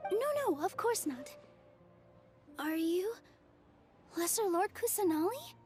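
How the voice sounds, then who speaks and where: A woman's voice: extremely high pitch, lively intonation, slow, darkish tone, soft background music, full character voice. A woman playing an earnest, sweet young woman in a video game. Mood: flustered, amazed. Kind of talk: video game character acting.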